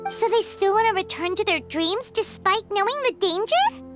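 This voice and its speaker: A tiny fairy sidekick from a video game, extremely high and squeaky. The childlike voice swoops about with earnest, exclamatory energy, with music playing behind it. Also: female voice, very animated, moderate pace. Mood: questioning. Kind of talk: video game cutscene acting.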